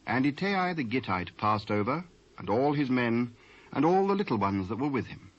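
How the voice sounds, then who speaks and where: British man, scripture narrator, mid-low pitch, solemn, measured, muffled lo-fi cassette sound. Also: big pitch swings.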